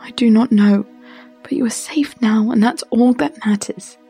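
A woman's voice: on the high side, moderate pace, gravelly, dark tone, slightly rough, soft background music, voice pushed up, full character voice. A woman voicing a devoted young fiancée. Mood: reassuring.

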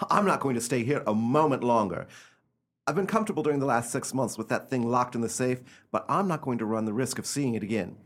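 Man playing a nervy, melodramatic gentleman, swooping pitch. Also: lively intonation, fairly quick, lightly acted. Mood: agitated. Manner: agitated, melodramatic, commanding. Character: nervy, high-handed gentleman haunted by a severed hand. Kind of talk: amateur radio play acting.

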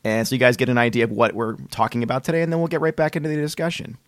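A man's voice: medium pitch, rapid-fire, dry recording. A man hosting a podcast in casual chat, hesitant and repeating words as he searches for phrasing. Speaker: podcast host.

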